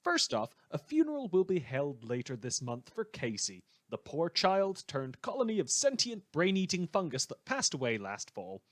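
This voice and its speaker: A man's voice: on the high side, wildly swooping pitch, moderate pace, few pauses, a bit bright, no room sound, studio quality. A man playing a sardonic radio host in a superhero world, delivering scripted satirical news with dramatic, sarcastic emphasis. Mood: wry.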